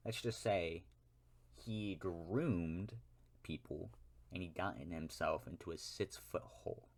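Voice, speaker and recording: A man's voice: sits low, fairly bright tone. A young American man rants alone to camera in a rambling way, often correcting himself and adding wry asides. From United States. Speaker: YouTuber. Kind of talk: ranting solo monologue.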